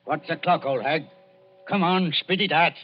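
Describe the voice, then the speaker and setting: An actor playing a brash, ruthless Cockney grave robber, rough and blustering, in 1940s radio style. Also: male voice, on the high side, moderate pace, natural voice. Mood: demanding, bullying. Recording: muffled vintage radio recording with music.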